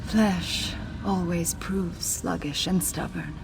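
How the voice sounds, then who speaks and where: Slow-spoken, remorseful ghostly mother. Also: lowish voice, fairly even intonation, vocal fry, background noise. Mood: solemn, resigned. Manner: earnest, sorrowful, measured. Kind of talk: dramatic video game dialogue.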